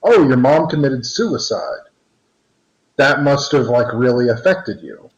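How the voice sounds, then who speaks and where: A man's voice: mid-range voice, animated, a little slow. A man co-hosting a casual podcast discussion, rambling with frequent restarts and fillers. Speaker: podcast co-host. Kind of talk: casual discussion over a call. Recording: roomy, dull lo-fi call audio.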